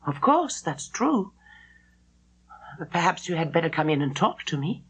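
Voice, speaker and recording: A woman's voice: deep voice, big pitch swings, a little slow, slightly rough, exaggerated voice. A woman voicing a direct, decisive missionary woman in an audiobook. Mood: conceding. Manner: direct, firm.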